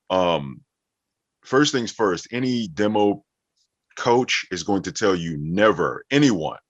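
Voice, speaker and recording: A man's voice: low voice, fairly bright tone. A man answering questions conversationally as an interview guest, a voiceover actor and coach. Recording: roomy echo, dull muffled sound.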